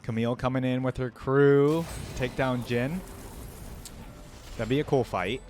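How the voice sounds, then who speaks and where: A man's voice: medium pitch, steady tone, measured pace, a little mellow, slight background noise. A man giving casual reaction commentary, musing aloud in slangy, impressed asides as the host of the video.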